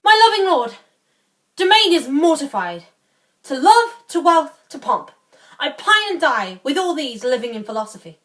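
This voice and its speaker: A woman's voice: high-pitched, big pitch swings, moderate pace, fairly bright tone, voice pushed up. A woman playing a devoted, lofty young lord in a Shakespeare scene, with florid declamation. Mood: lofty devotion. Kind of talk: Shakespearean stage acting.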